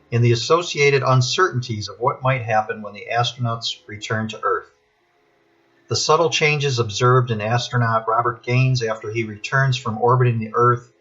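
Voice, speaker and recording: A man giving prepared spoken commentary as a podcast contributor, in measured, formal phrasing. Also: medium pitch, a bit bright, breathy edge. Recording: dull lo-fi recording.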